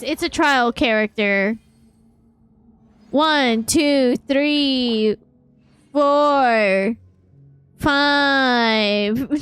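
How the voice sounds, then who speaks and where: Female streamer, high voice, slow playful casual commentary with repeated phrases, soft game music behind. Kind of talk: casual live reaction commentary. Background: game audio and music.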